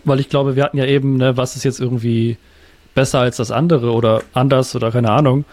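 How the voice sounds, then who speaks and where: A man's voice: medium pitch, fairly even intonation, quick pace, a little mellow, no echo. A man talking casually as a guest, reflective and hesitant, often searching for his words. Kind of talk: casual conversation.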